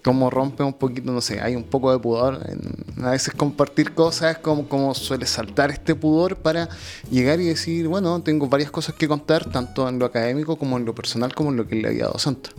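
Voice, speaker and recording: Chilean male radio host, mid-pitched. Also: a little fast. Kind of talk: informal radio interview.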